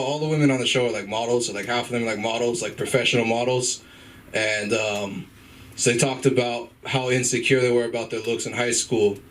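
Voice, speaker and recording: A man's voice: mid-range voice, a bit bright, distant mic, low-quality recording. A man in his twenties doing an open mic stand-up set in a comedy club, hesitant and full of fillers, swearing often and laughing at his own jokes. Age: 20s.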